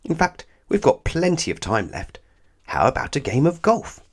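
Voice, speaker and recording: A man voicing a jovial, carefree old Father Christmas from a storybook, booming and hearty, breezily persuasive. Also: wildly swooping pitch, normal speed, breathy edge, heavily acted. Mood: breezy, playful.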